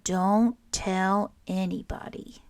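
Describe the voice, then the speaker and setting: A woman's voice: slow, background noise. A woman reading aloud and voicing an eager young boy turtle with a raised, pleading voice. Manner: high, excited pleading.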